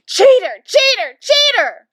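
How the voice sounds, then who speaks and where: A woman voicing a childish storybook mother who squeals, yells and whines shrilly. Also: big pitch swings, slow pace, exaggerated voice. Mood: indignant yelling. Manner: shrill, whiny squealing and yelling. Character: squealing, bawling childish mother feigning tantrums.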